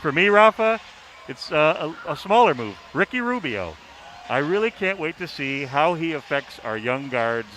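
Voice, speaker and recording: Male sports writer as panelist, bright and punchy voice, halting emphatic phrasing, slight background noise. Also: fairly high pitch, sing-song, low-quality recording. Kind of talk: casual sports analysis.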